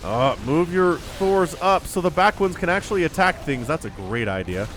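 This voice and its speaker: A man giving casual, chatty live commentary on a video game as a shoutcaster, a bit sniffly with a cold. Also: on the high side, expressive, normal speed, slight background noise. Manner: chatty, informal, slangy asides. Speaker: esports shoutcaster. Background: music.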